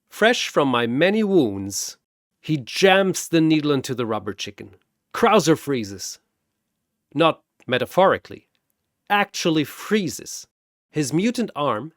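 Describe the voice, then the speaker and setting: A man's voice: medium pitch, a bit bright, no room sound, studio quality. A man narrating a scripted story in a wry, theatrical way.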